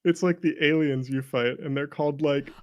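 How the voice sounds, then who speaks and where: A man's voice: fairly high pitch, steady tone, normal speed. A man chatting casually as an interview guest, joking and self-deprecating, often breaking into laughter. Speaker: interview guest, game creator. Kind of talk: casual candid chat.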